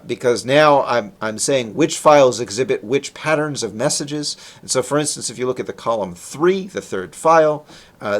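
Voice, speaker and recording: A man presents a technical talk with slides in a conversational way. His pitch moves up and down in a lively fashion, and he often puts a question to his listeners and then answers it himself. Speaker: lecturer, researcher presenting. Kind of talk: informal technical lecture with slides.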